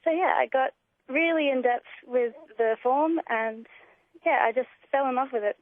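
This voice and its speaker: A young Australian woman talks over a tinny, narrow phone line. Her voice is fairly high and her manner is keen and enthusiastic, like a guest on a casual radio chat. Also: normal speed. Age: young adult. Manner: enthusiastic, gushing. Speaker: interview guest, student writer. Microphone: telephone call.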